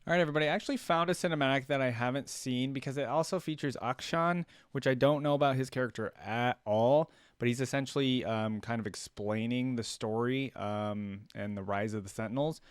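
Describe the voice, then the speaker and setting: A man's voice: medium pitch, brisk, few pauses, clear, resonant tone, no echo. Casual man reacting, close dry sound. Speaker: reaction video host. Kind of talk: casual off-the-cuff reaction commentary.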